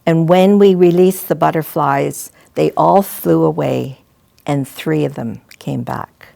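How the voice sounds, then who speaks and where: An older woman, a retired school principal, telling gentle, halting stories and advice to students. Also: low voice, creaky, no echo. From Canada. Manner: gentle, halting, with frequent restarts and soft asides. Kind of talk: reflective storytelling to students.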